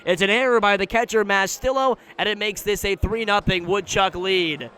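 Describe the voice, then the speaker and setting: Higher-pitched man calling baseball on radio. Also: normal speed, bright, forceful tone, clean tone. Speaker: baseball radio play-by-play announcer. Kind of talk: live sports commentary. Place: live at an outdoor ballpark.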